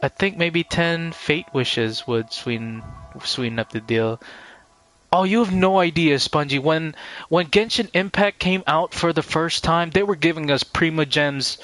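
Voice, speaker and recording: A man's voice: a little high, measured pace, a bit bright, faint music behind. A man chatting casually and in a rambling way as a game streamer, talking to his viewers over gameplay. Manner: rambling, addresses viewers by name.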